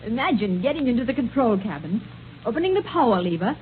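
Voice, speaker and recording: An actress playing an enthusiastic, plucky young space adventurer in a 1930s radio serial. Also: female voice, on the high side, big pitch swings, fast. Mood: amazed, curious. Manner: bright-eyed, teasing, exclamatory. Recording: scratchy, tinny 1930s radio recording.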